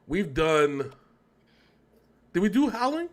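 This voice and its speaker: A man with a somewhat high-set voice co-hosts a casual reaction show. He talks at length in a rambling, conversational way, doubling back to correct himself. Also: big pitch swings, slow pace. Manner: rambling, talkative, self-correcting. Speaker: co-host of a music reaction show.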